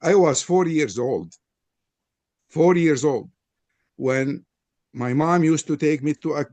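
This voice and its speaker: A man's voice: on the high side, slow, a little mellow, dry recording. An older man from Lebanon with a Lebanese accent, Arabic his first language, giving a frank, personal opening statement at an interfaith panel. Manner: earnest, frank, personal storytelling. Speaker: panel presenter.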